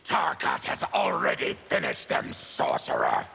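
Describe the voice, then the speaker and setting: A proud, bitter mutant warrior from a fighting game. The voice is a heavily gravelled snarl, sharp and forceful, swinging widely in pitch. Every line is deliberate and threatening, with faint noise behind it. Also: male voice, huge pitch swings, full character voice. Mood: vengeful. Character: savage diseased mutant warrior, proud bitter former soldier. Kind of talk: video game fighting character dialogue.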